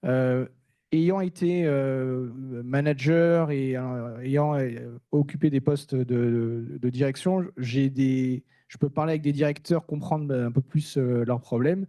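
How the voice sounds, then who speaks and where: Soft-toned man, candid and halting. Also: medium pitch, fairly even intonation, no room sound. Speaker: staff engineer speaking on a panel. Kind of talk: informal first-person conference talk.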